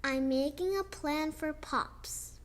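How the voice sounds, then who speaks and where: Slow, high-voiced girl reading aloud. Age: child. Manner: slow, expressive reading with chatty asides. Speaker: child storyteller. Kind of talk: reading a picture book aloud.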